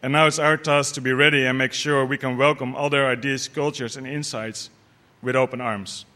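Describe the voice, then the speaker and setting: A man's voice: mid-range voice, steady tone, normal speed, pushed and bright. A man giving an earnest prepared speech at a conference, with a few hesitations. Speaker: conference speaker.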